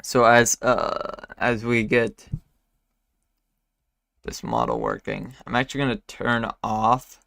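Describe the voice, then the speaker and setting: A man's voice: mid-range voice, sing-song, slow pace, creaky, long pauses, fairly bright tone, dry recording, clean studio sound. A man hosting a live weather forecast stream, talking casually with many fillers and restarts, his voice still recovering after he lost it. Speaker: weather livestream host.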